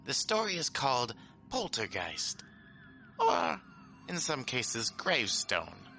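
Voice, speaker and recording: Campy gleeful gravekeeper horror host, sharp and all over the place, music underneath. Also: male voice, medium pitch, wildly swooping pitch, slow, exaggerated voice. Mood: gleefully macabre. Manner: theatrical, wildly swooping delivery. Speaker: podcast host introducing old radio episodes.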